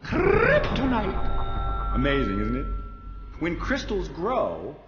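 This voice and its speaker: Sardonic criminal mastermind, taunting and theatrical, animated delivery, dull reverberant game audio. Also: male voice, fairly high pitch, slow pace, heavily acted. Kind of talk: video game cutscene acting. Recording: echoey hall, dull, faint noise.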